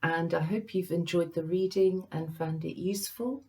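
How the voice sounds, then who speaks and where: A woman working as a psychic medium, giving a tarot reading to viewers in a gentle, reassuring way, pausing often with ums. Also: on the low side. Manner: slow, halting, with many ums and pauses.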